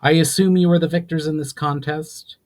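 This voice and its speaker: A man's voice: fairly high pitch, fairly even intonation, normal speed, a little mellow, voice pushed up, natural voice. A man voicing an earnest male adventurer in a storybook reading, asking formal questions with urgency. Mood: urgent, curious. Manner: urgent, inquisitive, formal speech.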